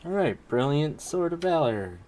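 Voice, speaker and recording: A man's voice: fairly high pitch, big pitch swings, normal speed, darkish tone, a little hiss or hum. A man giving casual gameplay commentary, playfully muttering and humming to himself. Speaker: gaming streamer.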